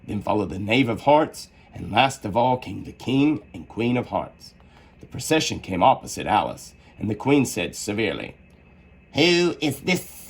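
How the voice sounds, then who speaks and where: A man narrating a children's story aloud in an expressive, theatrical manner. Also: on the low side, normal speed, creaky, breathy edge. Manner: animated, theatrical delivery.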